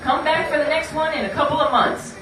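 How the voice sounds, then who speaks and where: A woman's voice: high voice, a little fast, fairly bright tone, soft background music. A woman narrating and hosting a live puppet show, telling the story theatrically in a folksy, playful way straight to the audience. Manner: folksy, playful, addressing listeners directly. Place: live show in a room, away from the mic. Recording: echoey room from far off, noisy.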